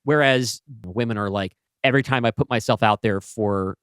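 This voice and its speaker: A man talking casually and bluntly as a podcast host, with loose swearing and an animated discussion style. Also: on the low side, normal speed, smooth, no room sound, studio quality.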